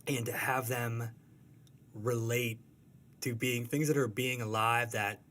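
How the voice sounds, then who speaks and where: A man's voice: medium pitch, level tone, takes it slowly, fairly bright tone. A man chatting casually as an interview guest, thoughtful and hesitant as he answers. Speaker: comic strip artist, interview guest.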